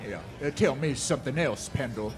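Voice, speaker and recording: A man's voice: mid-range voice, fairly quick, a crowd in the background, close to an everyday voice. A man playing a taunting, menacing bushwhacker in a western radio drama, with a sly drawl. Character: mocking, menacing male western bushwhacker and bully. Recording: live stage with audience noise.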